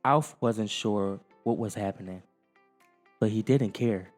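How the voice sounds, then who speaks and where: A man's voice: on the low side, slow pace, a little mellow, in a large hall, soft background music. A man reading a scripted children's story as its storyteller.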